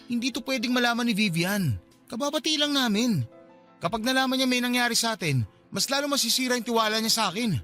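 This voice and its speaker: A man's voice: very high voice, fast, pushed and bright. An actor playing an agitated, evasive young man who is an unwilling father, defensive in a Tagalog radio drama. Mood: panicked, disbelieving. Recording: music bed behind the voice.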